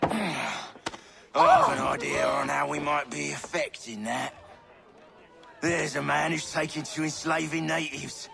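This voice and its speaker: An actor playing a crude, hard-drinking rogue in a video game, with a gravelly voice and a rough, drawling manner. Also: male voice, a little high, big pitch swings, a little slow, long pauses, sharp tone, faint music behind, heavily acted. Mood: sly, casual. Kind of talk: video game cutscene acting.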